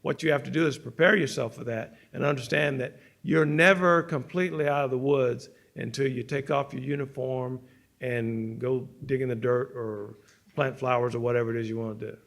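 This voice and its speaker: A man's voice: medium pitch, steady tone, moderate pace, vocal fry, fairly bright tone. Earnest man giving advice. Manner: earnest, exhorting. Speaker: forum panelist. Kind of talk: advice speech to young listeners.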